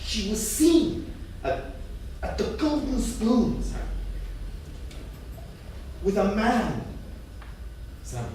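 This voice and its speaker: A man performing a nervous office colleague in a theatre reading. His high voice jumps about in pitch as he haltingly repeats himself, deferential and awkward. The room is very reverberant. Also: huge pitch swings, a little mellow, exaggerated voice. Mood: awkward, reluctant. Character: nervous, deferential male office colleague bearing bad news. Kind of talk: staged reading, theatrical dialogue. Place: indoor theatre at a staged reading. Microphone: distant room microphone. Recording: distant echoey theatre room, faint noise.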